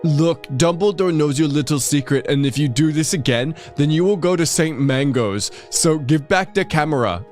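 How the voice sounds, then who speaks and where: Guy doing a needy goth teen boy, loud and melodramatic, highish voice, music behind. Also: moderate pace, few pauses, natural voice. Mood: angry, protective. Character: brooding gothic teenage boy lover, needy, protective. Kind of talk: parody dramatic reading.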